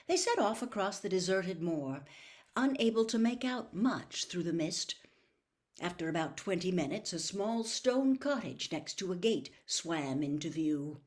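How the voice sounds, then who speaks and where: A woman narrating a novel as a storybook reader, in a measured, careful and expressive storytelling manner. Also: lowish voice. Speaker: audiobook reader.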